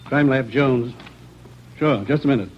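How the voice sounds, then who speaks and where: An actor playing a brisk, matter-of-fact crime lab technician in a 1940s radio police drama. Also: male voice, medium pitch, lightly acted. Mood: businesslike. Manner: businesslike, dry, clipped. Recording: muffled, noisy old radio recording.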